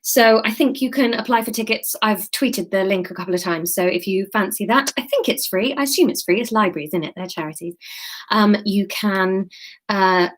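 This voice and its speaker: A woman from the UK talks casually to her livestream audience, hopping from topic to topic. She pokes fun at herself and laughs easily. When she turns to her book, she reads the narration in the same relaxed, unpolished voice. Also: medium pitch, moderate pace. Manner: chatty, rambling, self-mocking, laughs often. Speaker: comedian and author reading her story on a livestream. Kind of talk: casual livestream chat and reading aloud.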